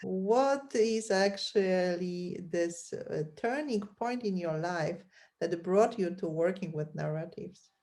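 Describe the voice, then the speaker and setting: Host, woman, mid-pitch, slow and careful delivery. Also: darkish tone. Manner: slow and deliberate, with many fillers. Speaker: podcast host. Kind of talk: interview hosting.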